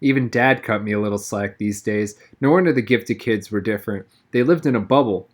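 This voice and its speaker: A man's voice: lowish voice, brisk. Man reading a wry story aloud. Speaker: reader, narrator. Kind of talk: expressive audiobook-style read-aloud of a novel.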